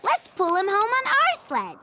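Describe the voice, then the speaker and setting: An actor voicing an excitable, kind-hearted little kid in a children's cartoon. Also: very high-pitched, unhurried, noisy background, exaggerated voice. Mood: eager, pleading. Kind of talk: cartoon voice acting.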